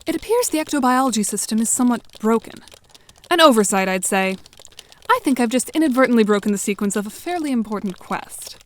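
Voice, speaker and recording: A haughty, articulate teen girl, acted by a woman, delivering audio drama lines in a deadpan, fairly high voice. Faint noise and keyboard clicks sit behind her. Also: fast, lightly acted. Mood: self-assured. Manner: deadpan, formal, sardonic. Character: dry, haughty, articulate, self-assured teenage girl.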